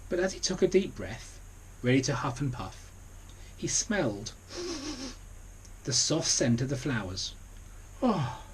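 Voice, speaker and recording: Guy reading a kids picture book, mid voice, deliberate w chatty asides, bit of background noise. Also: mid-range voice, poor audio. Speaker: story reader. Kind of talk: children's storybook reading with asides.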